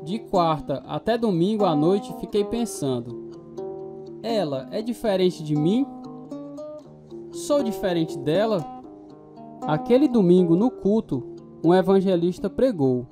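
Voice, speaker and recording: A man's voice: high voice, expressive, a little slow, long pauses. Troubled restless man telling his life story, brazilian accent, radio play, guitar music behind him. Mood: somber, confessional. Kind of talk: radio drama narration and dialogue.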